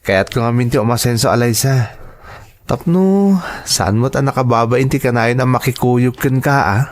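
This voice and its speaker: A man's voice: mid-range voice, normal speed, natural voice. A man acting in a radio drama as a shy, earnest young man in love, with an Ilocano accent and a hesitant delivery. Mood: reassuring.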